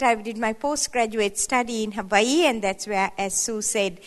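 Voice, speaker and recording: Playful woman in her seventies, echoing hall. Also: mid-range voice. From Sri Lanka. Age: 70s. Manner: playful, conversational, rising emphatic asides. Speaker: guest speaker. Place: hall at a women's church event. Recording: long reverb of a hall.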